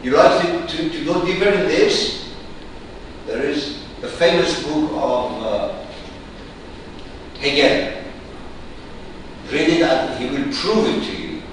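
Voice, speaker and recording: Slow, musing male teacher in an echoey hall. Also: on the high side, a little hiss or hum. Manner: slow, musing, with frequent pauses. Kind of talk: reflective philosophical lecture. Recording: echoey hall, mic far off.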